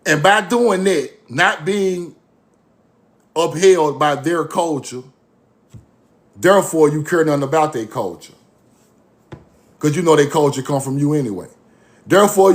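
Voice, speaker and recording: A man's voice: fairly high pitch, moderate pace, pauses often. A man giving a fiery informal lecture, blunt, repetitive and profane.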